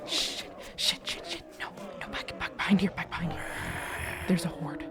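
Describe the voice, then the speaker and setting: A woman playing a protective, guarded young survivor of a zombie apocalypse in an intimate audio roleplay, with hushed, urgent, halting delivery. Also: on the low side, fairly even intonation, crowd noise, lightly acted. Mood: tense, reassuring.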